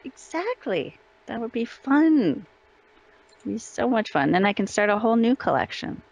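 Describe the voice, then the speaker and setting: A woman's voice: fairly high pitch, sing-song, moderate pace, creaky, darkish tone, no echo. A woman chatting casually as an interview guest. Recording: slightly dull lo-fi call audio.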